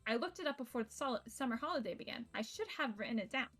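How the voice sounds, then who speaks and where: Female streamer narrating a visual novel, higher register, reactive and conversational, game music behind her. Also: quick pace. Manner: expressive, reactive, slipping into asides. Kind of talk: live stream read-aloud of a visual novel with casual reactions.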